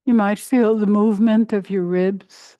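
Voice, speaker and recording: A woman teaching a guided meditation in a gentle, unhurried way, pausing between short phrases. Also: medium pitch, fairly even intonation, no room sound, lo-fi sound. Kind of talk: guided meditation, body scan.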